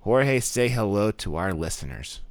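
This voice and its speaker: A man's voice: on the low side, big pitch swings, a little slow, no echo. A man hosting a podcast in an upbeat, casual way, often saying "awesome" between questions. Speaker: podcast host.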